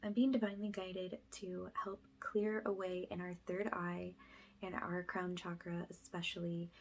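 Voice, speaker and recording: A woman speaking in a hushed, often whispered way, guiding a spiritual ASMR energy cleansing as a healer. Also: on the low side, level tone, moderate pace.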